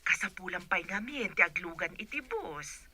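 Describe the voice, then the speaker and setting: Babaeng gumaganap na masiglang kaibigang nag-aalok ng trabaho. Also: female voice, fairly low pitch, sing-song, normal speed, bright, forceful tone, heavily acted. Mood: persuading. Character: brisk woman recruiting a courier. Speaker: Merta, a visitor offering delivery work. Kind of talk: Ilocano radio drama acting.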